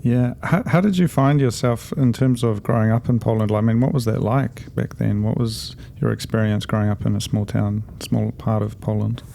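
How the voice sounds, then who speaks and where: A man's voice: fairly low pitch, fairly even intonation, normal speed, creaky, hardly any pauses, mellow, slight background noise. A man hosting a casual late-night interview, asking curious follow-up questions. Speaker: podcast host.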